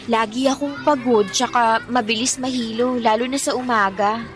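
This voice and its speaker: A woman's voice: fairly high pitch, steady tone, normal speed, music in the background, close to an everyday voice. An actress playing a scared, defensive nineteen-year-old young woman in a radio drama. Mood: uneasy, weary. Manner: anxious, defensive. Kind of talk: Tagalog radio drama acting.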